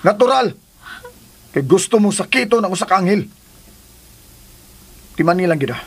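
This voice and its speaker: High-voiced, defiant Cebuano man. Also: animated, normal speed, slightly rough, no room sound, full character voice. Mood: exasperated. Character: defiant, protective young expectant father, fed up. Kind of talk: Philippine radio drama acting.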